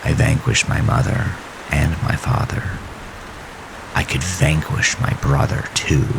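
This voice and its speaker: A man narrating a first-person horror story in a deliberate, suspenseful, dramatic way with a gravelly voice. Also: rumbling low, lively intonation. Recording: rain and storm noise behind voice. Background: rain, storm ambience.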